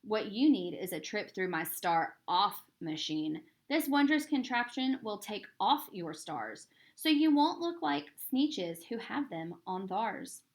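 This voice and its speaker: Woman playing a lively, keen, slick storybook salesman. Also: medium pitch, takes it slowly, hardly any pauses. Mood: sly, coaxing. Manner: clear, keen sales patter. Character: slick, upbeat male travelling salesman, sly and greedy. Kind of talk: storybook character voice.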